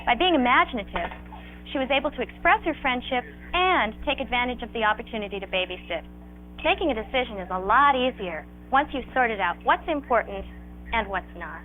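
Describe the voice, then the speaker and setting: Woman narrator, lively intonation, scripted explanatory delivery, phone-thin old 16mm film sound. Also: fairly high pitch, brisk, narrow, tinny audio, slight background noise. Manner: instructive, explanatory.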